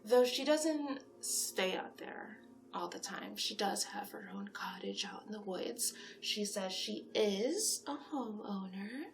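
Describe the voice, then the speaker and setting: A woman plays a female monster matchmaker, chatty and encouraging, confiding in a client as part of an ASMR roleplay. The delivery is unhurried and gushing, with plenty of creaky fry. Faint noise sits behind the voice. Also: mid-range voice, animated. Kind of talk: horror roleplay ASMR, one-sided conversation.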